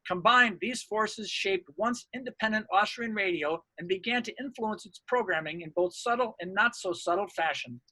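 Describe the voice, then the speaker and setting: A man's voice: high voice, moderate pace, hardly any pauses, bright, forceful tone, no echo, poor audio. A man reading out a scripted academic research presentation.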